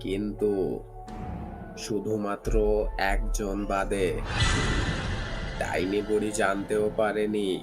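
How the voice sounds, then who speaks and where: A man's voice: lowish voice, completely flat delivery, takes it slowly, long pauses. A man narrating a scripted cartoon tale dramatically, building suspense phrase by phrase. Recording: loud music behind the voice. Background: loud background music.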